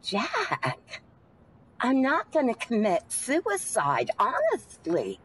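Radio drama passenger, played by a woman: gentle, eccentric, unafraid, unhurried, expressive. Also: a little high, husky, full character voice. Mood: earnest. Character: serene, eccentric, polite woman taxi passenger. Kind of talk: old-time radio drama acting.

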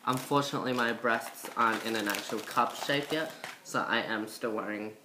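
A young woman with a low, masculine-sounding voice and a slight cold, chatting casually to camera in a rambling, self-conscious way. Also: normal speed, background noise. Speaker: vlogger. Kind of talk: casual chat to camera, transition update. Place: indoor room by a window. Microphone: built-in device mic at arm's length.

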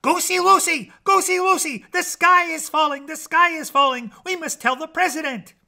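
A man voicing a dim, panicky female chicken in a storybook, shouting frantically. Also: extremely high pitch, moderate pace, vocal fry, pitched above the performer's own voice, full character voice. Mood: panicked. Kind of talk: storybook character voice.

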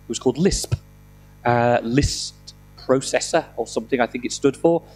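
A middle-aged man with a slightly croaky voice from a throat infection, giving an informal, self-deprecating technical talk with dry humour into a handheld microphone. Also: medium pitch, moderate pace, darkish tone, soft background music, poor audio. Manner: self-deprecating, dryly humorous. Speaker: conference speaker. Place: indoor conference room, speaking on stage.

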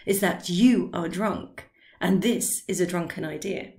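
A woman voicing a blunt, skeptical young male painter who speaks in a flat, deliberate way. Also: on the low side, vocal fry. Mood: dismissive.

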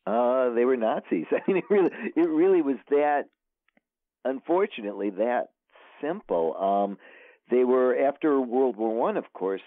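A man's voice: on the low side, dry recording. A man being interviewed as an author, answering thoughtfully with many hesitations. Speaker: author guest. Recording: tinny phone line.

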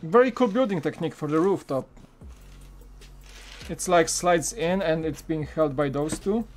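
A man's voice: fairly high pitch, moderate pace, soft background music. Man, thirties, Polish accent, Polish native speaker, casual live-stream chat, home room, headset mic. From Poland. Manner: chatty, loose, many fillers and restarts.

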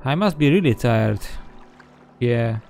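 A man with a creaky, gravelly voice narrates his own roleplay playthrough of a game. He talks haltingly, as if thinking aloud to himself, over faint music. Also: mid-range voice, animated, a little mellow. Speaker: gamer doing a roleplay playthrough. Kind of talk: in-character gameplay commentary.

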